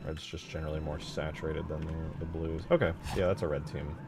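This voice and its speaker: A man's voice: very deep voice, level tone, a little fast, background noise. A man giving casual live stream commentary as he works on a game, muttering and thinking aloud.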